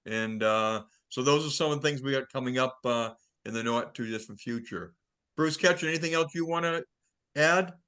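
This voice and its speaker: Bright-voiced man hosting a club call. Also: mid-range voice, normal speed, clean tone. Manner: relaxed, many fillers when announcing events. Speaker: club meeting host. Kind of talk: casual conference call hosting.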